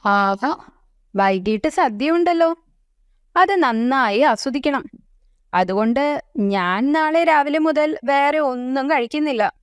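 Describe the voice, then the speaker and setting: A woman's voice: high-pitched, takes it slowly, pauses often, no room sound, studio quality. A woman voicing a carefree, food-loving deer in a children's story, easygoing and coaxing. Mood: eager.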